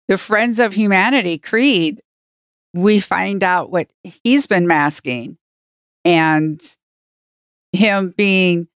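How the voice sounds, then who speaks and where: Female podcast co-host, slightly low pitch, earnest casual discussion in piecemeal phrases, dry sound. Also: no echo.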